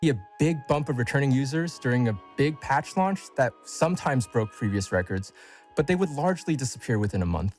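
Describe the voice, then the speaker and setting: A man's voice: mid-range voice, animated, brisk, a little mellow, faint music behind. A man delivering a scripted studio announcement as a company spokesperson.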